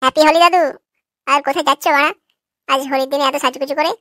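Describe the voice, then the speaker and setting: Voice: high-pitched, fairly even intonation, racing, bright, forceful tone, no echo, studio quality. A performer voicing a crude, cocky young neighborhood prankster in a cartoon, always teasing.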